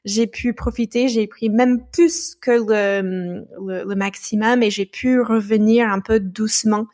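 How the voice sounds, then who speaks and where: A woman from the United States, a podcast guest talking through her experiences in a thoughtful, halting way with frequent restarts. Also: medium pitch, fairly even intonation, darkish tone. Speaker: podcast guest, founder of a training company. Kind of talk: casual podcast conversation.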